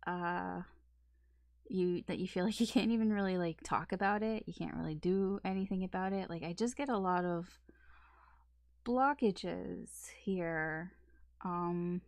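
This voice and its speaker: A woman's voice: on the low side, measured pace, dry recording. A woman giving a casual weekend tarot reading, rambling along with lots of fillers. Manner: rambling, filler-heavy talk. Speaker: tarot reader.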